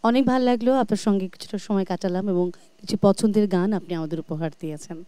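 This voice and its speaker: A woman's voice: mid-range voice, racing, warm tone, clear, resonant tone, no echo. A Bangladeshi woman hosting a music show, speaking graciously and effusively to her guest and viewers. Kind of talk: presenting, chatting with guest and viewers. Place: TV studio.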